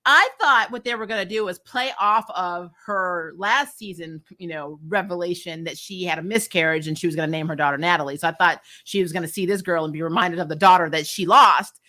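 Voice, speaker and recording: A woman casually recapping TV episodes as a vlog host, animated, often acting out lines and dropping in "you know". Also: lowish voice, fairly quick, hardly any pauses. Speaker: host of a comic TV review vlog.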